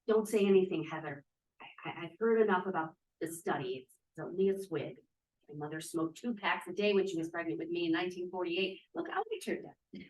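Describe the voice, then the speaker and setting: A woman's voice: lowish voice, a little fast, darkish tone, natural voice. A woman playing a defiant, free-spirited drama student of twenty-two in a play table read, arguing emphatically and theatrically. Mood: tipsy, rueful. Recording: roomy, echoey, distant microphone.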